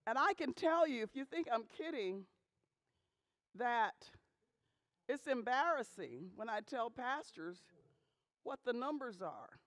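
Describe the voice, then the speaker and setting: A woman's voice: on the high side, big pitch swings, slow pace, pauses often, fairly bright tone, no echo. A woman addressing a group of pastors in an emphatic talk, often checking in with "okay?" and asking rhetorical questions. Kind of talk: emphatic talk to a church audience.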